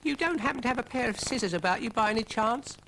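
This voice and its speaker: Brian, a squeaky fretful little snail. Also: male voice, lively intonation, fast, creaky, bright, forceful tone, a little hiss or hum, heavily acted. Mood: alarmed. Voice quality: shrill, squeaky.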